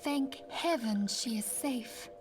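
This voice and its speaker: A woman's voice: on the high side, slow pace, slight background noise. An actress playing a composed, earnest woman leader in a video game, speaking with solemn devotion. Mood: reverent, hopeful. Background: game music.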